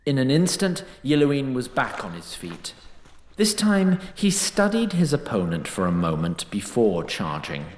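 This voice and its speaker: Fantasy narrator, male: deliberate and dramatic, highly varied melody, noticeably echoing space. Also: wildly swooping pitch, a little hiss or hum. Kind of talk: dramatic scripted storytelling narration.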